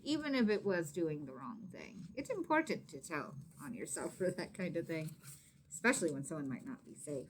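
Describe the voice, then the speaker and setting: Animated woman reading a children's story. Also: fairly low pitch, a little hiss or hum. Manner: dramatic emphasis, hushed asides. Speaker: teacher or reader of a children's story. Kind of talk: expressive children's read-aloud with questions to listeners.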